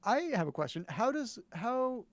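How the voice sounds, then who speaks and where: Deadpan mid-pitched voice, casual and teasing. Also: normal speed, dry recording. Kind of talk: casual chat.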